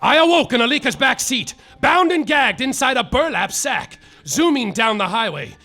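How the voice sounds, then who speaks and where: Man as an overwrought comic private eye, bright high voice, noir narration, live stage. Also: very animated, normal speed, soft background music, exaggerated voice. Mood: brooding. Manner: overwrought, shouting outbursts. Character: bumbling melodramatic male private detective narrating. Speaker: actor in a live comedy audio play. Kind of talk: hardboiled noir narration spoofed.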